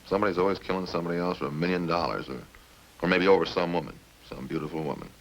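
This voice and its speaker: Deep, gravelly, smug murder suspect. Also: male voice, rapid-fire, low-quality recording. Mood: chatty, smug. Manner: folksy, casual, cagey, rambling. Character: talkative, smug male murder suspect, coolly needling police. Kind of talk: 1950s radio crime drama acting. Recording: hissy old radio recording.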